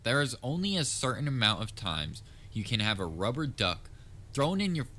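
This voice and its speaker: A man's voice: medium pitch, big pitch swings, moderate pace, bright, forceful tone. A man playing a theatrical, pompous supervillain with dry sarcasm in a comedic audio roleplay. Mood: concerned, exasperated. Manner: pompous, gloating, dryly sarcastic. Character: theatrical male supervillain, pompous yet secretly concerned nemesis. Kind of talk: scripted audio roleplay, comedic villain monologue.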